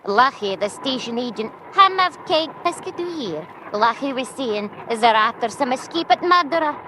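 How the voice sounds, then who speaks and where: Actress as a very high, excitable Scottish passenger. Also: female voice, normal speed. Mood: gossipy. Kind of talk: radio drama acting. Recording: faint vehicle rumble and ambience.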